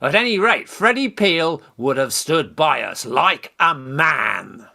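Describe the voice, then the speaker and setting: Farce role: man playing a bossy British young gent, hot-headed, high-pitched, wildly animated delivery. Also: takes it slowly, vocal fry, exaggerated voice. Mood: indignant. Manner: emphatic, blustering. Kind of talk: Victorian stage farce, radio play acting.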